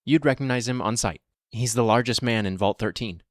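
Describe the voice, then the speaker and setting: An actor playing a practical vault security officer who speaks in a calm, matter-of-fact way. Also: male voice, mid-range voice, fairly quick, no echo, lightly acted. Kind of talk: audio drama acting.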